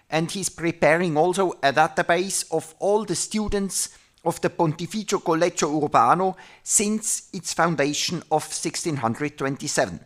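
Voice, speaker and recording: A speaker from Switzerland, who is not an English speaker, chairing an academic panel and introducing the speakers in halting, hesitant English. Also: medium pitch, a bit bright. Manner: halting, searching for words with many pauses.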